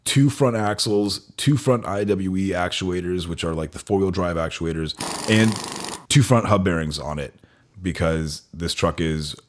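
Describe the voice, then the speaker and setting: A man's voice: low voice, measured pace. A young adult man co-hosting a podcast, talking casually about truck repairs at length, with lots of fillers. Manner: rambling, technical shop talk with fillers. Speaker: podcast co-host, dealership technician. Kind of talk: casual chat.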